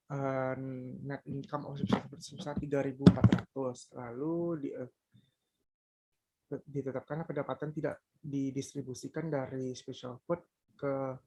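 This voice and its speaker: A young Indonesian-accented man presents scripted academic material like a university student. His voice is mid-pitched and rough, with a gravelly creak. He reads haltingly and trips over English technical terms. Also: dark tone. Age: young adult.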